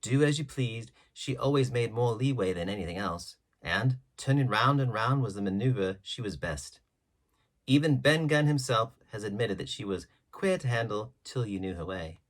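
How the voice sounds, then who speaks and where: A man's voice: fairly low pitch, expressive, normal speed. A man reading a classic adventure story aloud as scripted literary narration. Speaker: audiobook reader.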